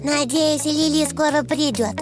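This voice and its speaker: High, bubbly little boy donkey. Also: fairly even intonation. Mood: eager. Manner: childlike, bubbly, simple slow phrasing. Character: cheerful, eager little boy donkey, playful child. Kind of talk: preschool cartoon dub acting. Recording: music bed behind the voice.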